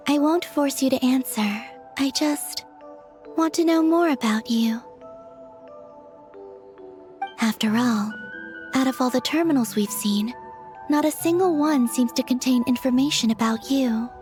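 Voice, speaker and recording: A woman acting as a calm young female investigator from a fantasy game. Her voice is fairly high, soft-spoken and measured, thoughtful and gently kind, with music playing behind it. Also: natural voice. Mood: gentle, reassuring.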